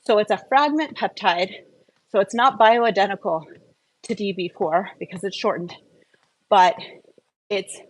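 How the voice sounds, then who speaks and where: A woman's voice: mid-range voice, poor audio. A woman hosting a casual live health stream, explaining things in an enthusiastic, emphatic and informal way with chatty asides.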